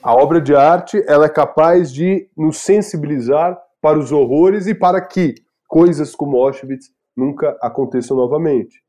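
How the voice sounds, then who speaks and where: A Brazilian man with a warm, dark voice, co-hosting a discussion podcast. He talks in an earnest, thoughtful way and often restarts a phrase mid-sentence. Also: on the high side, normal speed, few pauses. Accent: Brazilian Portuguese. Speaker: podcast co-host.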